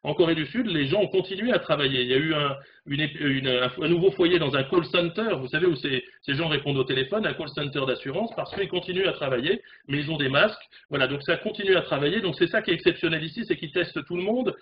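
A man in his forties speaks fast and animatedly as a correspondent over a video call. His voice sits a little high. The webcam sound is dull and carries a faint hiss. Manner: fast, animated, talks with hands. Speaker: correspondent in South Korea. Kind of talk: remote news discussion. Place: home room, indoors.

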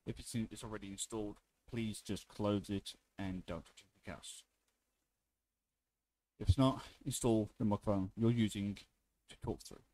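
A man giving a casual, unscripted software tutorial in an informal, offhand way as the presenter. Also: fairly low pitch, steady tone, moderate pace, poor audio.

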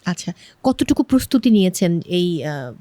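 A woman's voice: on the low side, big pitch swings, moderate pace, warm tone. A woman with a Bangladeshi accent, interviewing and presenting as a television talk show host.

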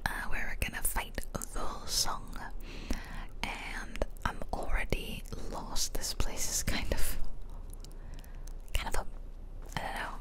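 A woman's voice: slow pace, low-quality recording. A woman whispering casual, musing ASMR commentary close to the microphone as she plays a game. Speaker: game streamer. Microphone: close microphone, ear-to-ear whispering.

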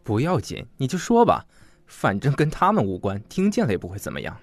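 An actor playing a cocky teenage student in a radio drama, sardonic and defiant. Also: male voice, medium pitch, wildly swooping pitch, fairly quick, soft background music.

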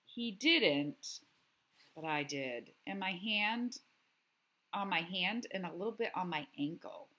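A woman's voice: lowish voice, animated, slow, sharp tone, exaggerated voice. A woman voicing a friendly but wounded young schoolgirl in a storybook, earnest and confiding.

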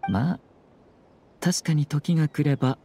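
Polished young game aristocrat, lightly high male voice, lively lilting intonation, formal, measured, slyly teasing. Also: gravelly, a little hiss or hum. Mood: teasing politeness. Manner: polite, formal, measured, sly. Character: courteous, composed young nobleman, subtly teasing. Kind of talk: video game anime voice acting.

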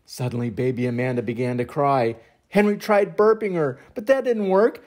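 An older man reading a children's picture book aloud as an expressive storyteller. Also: mid-range voice, moderate pace. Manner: expressive, acts out sound effects and voices.

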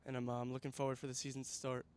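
A man's voice: medium pitch, monotone, racing, a little mellow, clean tone. A young man from New Jersey answering interview questions earnestly on an outdoor soccer field, holding a mic. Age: young adult. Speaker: college soccer recruit. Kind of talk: casual on-camera interview. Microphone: handheld mic.

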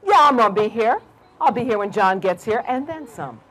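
A woman's voice: on the high side, animated, fairly quick, fairly bright tone, low-quality recording. A woman voicing a big strong man in a told story, plain-spoken and boastful but a little uneasy.

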